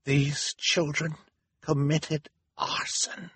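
A man voicing an angry old volunteer with poor eyesight, speaking slowly and accusingly in a storybook reading. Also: fairly high pitch, flat delivery, a bit bright, slightly rough, dry recording, voice pushed up, heavily acted. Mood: weary accusation.

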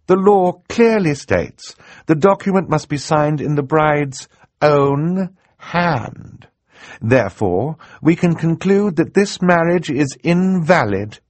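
A man's voice: on the high side, animated, slow pace, a little mellow, no echo, pitched above the performer's own voice. A man playing a tender, earnest woman judge who speaks carefully and with emotion, in an audiobook acting style. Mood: careful, then triumphant.

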